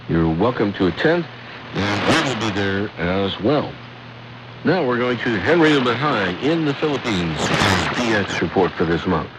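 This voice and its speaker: A man presenting a radio programme in a scripted announcing style, deliberately repeating and spelling out details. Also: low-pitched. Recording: shortwave radio broadcast with loud noise.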